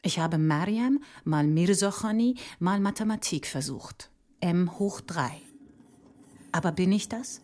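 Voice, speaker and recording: A woman playing a reflective, candid mathematician and mother in a measured, introspective literary monologue. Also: lowish voice, expressive, darkish tone, slight background noise. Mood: pensive. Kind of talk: literary first-person monologue over ambience.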